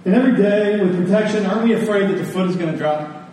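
A man's voice: sits high, fast, darkish tone. A man preaching a sermon to a congregation, using rhetorical questions and repeated phrases for emphasis. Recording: very echoey room, distant mic, faint noise.

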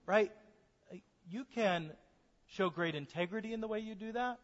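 A man preaching a sermon as a pastor, speaking earnestly and slowing down to stress key points. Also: sits high. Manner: earnest preaching, slows for emphasis. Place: church sanctuary. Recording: dull sermon recording in a reverberant church.

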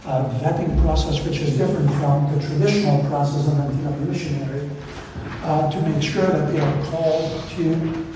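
Middle-aged man, missionary at the pulpit, earnest and halting, distant mic in a reverberant church with faint room hum. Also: a little high, fairly even intonation. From Belgium. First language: Flemish. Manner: earnest, measured, hesitant with frequent fillers. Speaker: visiting missionary speaker. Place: church sanctuary. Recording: echoey church hall from far off.

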